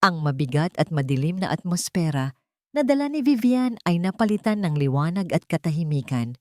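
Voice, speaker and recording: A woman narrating an audio story in a scripted, dramatic way. Her voice sits low but swings widely in pitch. The recording is dry and close. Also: moderate pace, no echo. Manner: expressive, dramatic reading.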